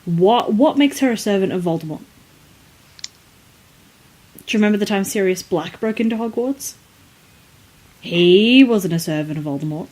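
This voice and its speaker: A woman's voice: mid-range voice, animated, normal speed, pauses often, a little mellow, poor audio. A woman podcast co-host chatting casually, questioning things in a playfully skeptical, hesitant way. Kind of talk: casual chat.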